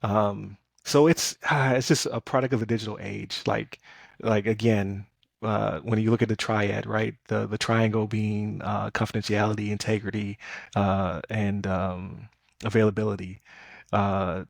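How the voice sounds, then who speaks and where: A man's voice: fairly low pitch, little pitch movement, a little slow, vocal fry, dry recording, clean studio sound. A man hosting a casual podcast, talking things through loosely with plenty of fillers and restarts. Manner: conversational, many fillers and restarts. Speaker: podcast host.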